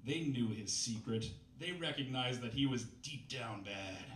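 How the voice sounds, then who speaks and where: A man's voice: mid-range voice, unhurried, fairly bright tone. Man reading aloud on a roomy bistro stage. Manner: mock-dramatic reading, wry interjections. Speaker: reader at a comedy reading night. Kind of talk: reading aloud from a novel with dry asides. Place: small bistro stage with live audience. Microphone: stand mic, filmed from far camera. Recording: roomy, distant camera audio, faint noise.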